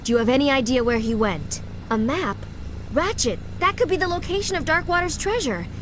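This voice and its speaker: A woman voicing an earnest, brisk young heroine in a cartoon game. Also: high voice, animated, slight background noise. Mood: urgent. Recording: music behind.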